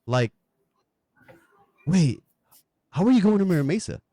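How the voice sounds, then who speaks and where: A man's voice: high voice, sing-song, unhurried, mellow. A man hosting a podcast, chatting casually in slangy, informal language as he interviews a guest. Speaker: podcast host.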